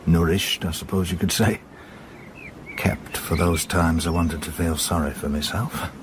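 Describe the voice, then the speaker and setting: A middle-aged police inspector with a very deep voice. He is terse, dry and quietly probing in a naturalistic radio drama. Background noise sits clearly behind him. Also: fairly even intonation, unhurried. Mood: wistful, reflective. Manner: understated, terse, sardonic questioning.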